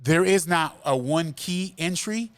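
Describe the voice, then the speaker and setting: A man, hosting a relaxed podcast conversation. His voice sits a little high, and he talks earnestly, restarting and repeating words as he works out his point. The sound is close and dry. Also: unhurried, no room sound. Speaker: podcast host. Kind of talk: casual podcast chat. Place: Miami.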